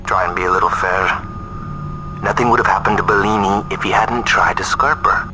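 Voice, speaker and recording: A man's voice: sits low, fairly even intonation, brisk, over music, lightly acted, low-quality recording. A man playing a condescending, coldly menacing colonel, a sardonic villain in a video game.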